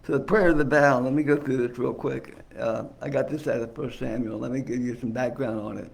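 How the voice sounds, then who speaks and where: A soft-voiced man teaches conversationally, like a guest preacher working through his notes. There is some gravel in his voice, and he sounds far from the microphone in an echoing room with faint noise behind him. His delivery is reflective and informal. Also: medium pitch, steady tone, a little fast. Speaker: guest speaker at a church service. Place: indoor service room, mic some way off. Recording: distant mic, roomy echo, faint noise.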